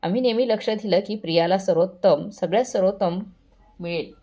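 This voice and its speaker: A woman's voice: mid-range voice, a little fast, mellow, natural voice. A woman voicing a boastful, condescending wealthy mother-in-law with showy, patronizing politeness, in a family story. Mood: smug.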